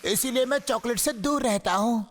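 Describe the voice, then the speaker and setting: A man's voice: extremely high pitch, normal speed, background noise. A man voicing a protective, devoted male shark in a Hindi cartoon dub, earnest and pleading. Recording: music behind the voice.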